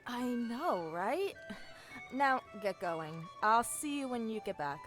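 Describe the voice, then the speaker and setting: A woman's voice: on the high side, sing-song, slow, soft background music. A woman playing a sassy, eager young female assassin in a fantasy audio drama, chatty and snarky. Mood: upbeat, confiding. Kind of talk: amateur fantasy audio roleplay acting.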